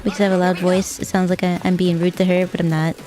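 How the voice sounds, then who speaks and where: Soft-spoken woman streamer, mellow voice. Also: fairly low pitch, little pitch movement. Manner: soft-spoken, halting, repeats words. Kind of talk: casual gaming stream chat.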